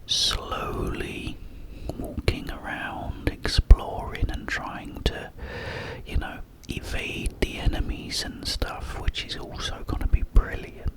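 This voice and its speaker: A man whispering a casual ASMR ramble about games, breathy and up close to the microphone, in short halting phrases with pauses. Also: low-quality recording. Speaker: ASMR content creator.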